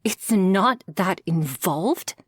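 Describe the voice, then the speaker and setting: A woman's voice: medium pitch, expressive, very slow, husky, no room sound, studio quality, full character voice. A woman playing a tough, sassy shark-girl girlfriend in an intimate ASMR roleplay, blunt and sardonic. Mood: exasperated, scolding.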